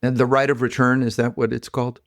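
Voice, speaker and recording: A male podcast host asks questions in a relaxed, folksy way, dropping in "like" here and there. His voice sits at a middle pitch. The sound is dry and close. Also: fast, no echo. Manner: casual, folksy, lots of "like". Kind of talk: podcast interview.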